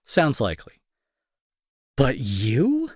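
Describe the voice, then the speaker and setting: An irritable young male bully, acted by a man, speaks in a slow, dramatic delivery that swings widely in pitch. He is sarcastic and scolding with worry underneath, in a dry, close audio-drama recording. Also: huge pitch swings, darkish tone, dry recording, full character voice. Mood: sarcastic, accusing. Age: young adult. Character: irritable young male bully, gruff but secretly worried. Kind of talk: intimate roleplay audio drama acting.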